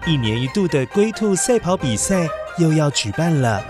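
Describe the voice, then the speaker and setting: A man narrates a children's story in an expressive, dramatic way, with exclamations and sound words. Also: fairly high pitch, normal speed. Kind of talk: animated scripted children's storytelling. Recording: music behind the voice. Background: music.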